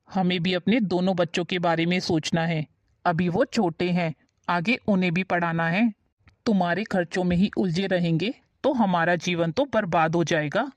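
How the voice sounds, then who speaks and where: A woman voicing a stern, controlling middle-aged uncle in an animated family drama. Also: on the low side, normal speed, no room sound, highs cut off, natural voice. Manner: stern, dismissive, laying down rules.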